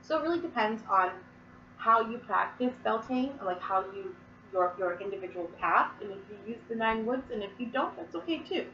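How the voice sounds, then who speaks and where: A woman's voice: on the high side, animated, normal speed, sharp tone, reverberant, recorded from a distance, muffled recording, slight background noise. A woman from Massachusetts explaining Wiccan verses in casual, rambling talk full of fillers, sniffling and coughing from a cold. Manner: chatty, rambling with many fillers. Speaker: Wiccan teacher.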